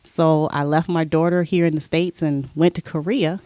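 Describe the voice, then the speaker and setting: A middle-aged woman, a podcast guest, telling stories from her past in a relaxed, conversational way. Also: low-pitched, normal speed, no room sound. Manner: relaxed storyteller, recounting her past at length. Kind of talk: casual interview chat.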